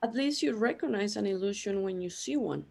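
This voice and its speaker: A woman playing an old, outwardly calm companion in a radio play, measured and matter-of-fact. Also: mid-range voice, creaky.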